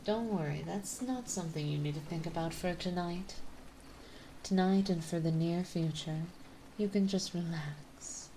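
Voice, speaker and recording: A woman playing a gentle, dominant vampire mistress in an intimate ASMR roleplay, soft and breathy, often whispering, with a refined, coaxing manner. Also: lowish voice, slight background noise, rough recording. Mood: warm, reassuring. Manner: slow, coaxing, refined and reassuring.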